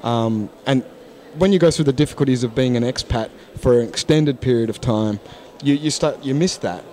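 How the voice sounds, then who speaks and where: A man in his forties chats at a conference, holding his own mic. He speaks frankly and thoughtfully, with frequent false starts, at an even middle pitch. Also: moderate pace. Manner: frank, thoughtful, many restarts. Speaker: interview guest, English teacher. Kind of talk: casual interview. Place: conference hall lounge, indoors. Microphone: handheld mic.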